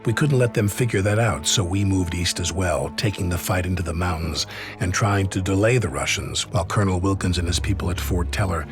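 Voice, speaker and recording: A man's voice: low-pitched, a little fast, music in the background, lightly acted. A man playing a sober soldier narrator, giving scripted dramatic war narration for a game. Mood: sober, reflective. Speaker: story narrator.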